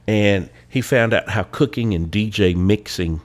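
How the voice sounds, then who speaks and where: Deep-voiced guy, stuffed up from a cold, laid-back podcast chat with lots of uhs. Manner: relaxed, drawling, with many "uh" pauses. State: congested from a cold. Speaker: podcast co-host. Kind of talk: casual chat.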